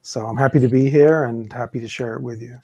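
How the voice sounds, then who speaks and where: Male guest from England, measured and reflective, close dry sound. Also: medium pitch, fairly even intonation, warm tone, breathy edge, no room sound. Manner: measured, thoughtful, slow in places. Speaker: guest author. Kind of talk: casual interview talk.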